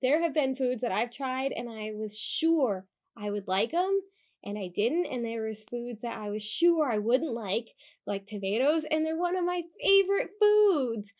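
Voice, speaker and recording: Animated high-voiced woman reading for children. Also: measured pace, highs cut off. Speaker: children's storybook reader and host. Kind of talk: animated children's read-aloud with casual asides.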